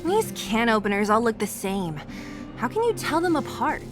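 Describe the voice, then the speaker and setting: A woman voicing a brisk, protective, wry young woman fighter in a video game. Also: on the high side, big pitch swings. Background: music.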